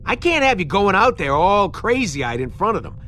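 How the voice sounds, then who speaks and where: A man voicing a brash, skeptical little creature sidekick in an animated space game, blunt and streetwise. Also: sits high, moderate pace, a little hiss or hum, exaggerated voice. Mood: wary, nagging. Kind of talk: animated game drama acting.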